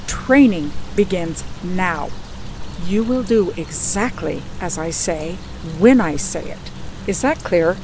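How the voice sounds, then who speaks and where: A woman's voice: on the low side, animated, slow, noisy background. A middle-aged woman playing a stern mother and mage, giving firm, no-nonsense orders in an audio drama. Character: stern, commanding mother and mage training her daughter.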